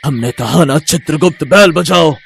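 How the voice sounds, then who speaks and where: A man's voice: fairly high pitch, moderate pace, creaky, breathy edge. A man voicing a stern, formal god of death who gives patient but insistent commands in a moral story. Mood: commanding. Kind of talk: animated Hindi moral-story voice acting.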